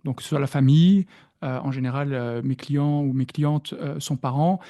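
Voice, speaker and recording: A Belgian man with a Belgian French accent, a productivity coach explaining his work in a podcast interview, thoughtful and halting with many fillers. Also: mid-range voice, steady tone, warm tone. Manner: reflective, hesitant with frequent fillers.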